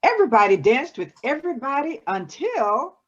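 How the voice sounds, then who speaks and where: A woman's voice: high-pitched, sing-song, normal speed. A woman performer reading story and verse aloud in readers theatre. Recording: dull lo-fi recording.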